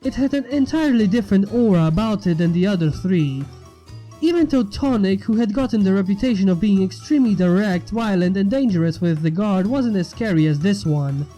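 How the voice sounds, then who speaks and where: Male story narrator, mellow and animated, light music bed underneath. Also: high voice, a little fast. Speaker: fan fiction narrator and channel host. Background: background music.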